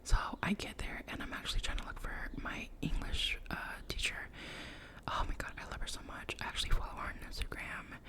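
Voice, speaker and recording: A young woman whispering a rambling personal story, full of "like" and self-corrections, in the style of an ASMR storytime. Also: a little fast. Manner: soft whisper throughout. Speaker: vlogger.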